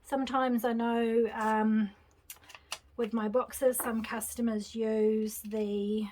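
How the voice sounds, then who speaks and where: A woman's voice: on the high side, takes it slowly, a little hiss or hum. Chatty woman demonstrating a craft. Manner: chatty, relaxed, thinking aloud while working. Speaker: craft tutorial host. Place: craft table indoors, hands seen from overhead camera.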